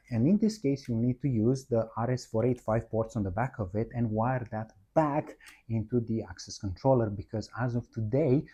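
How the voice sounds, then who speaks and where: A man's voice: fairly low pitch, dark tone, slightly rough. A man narrating a product walkthrough, explaining methodically, one step at a time. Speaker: product presenter, installer.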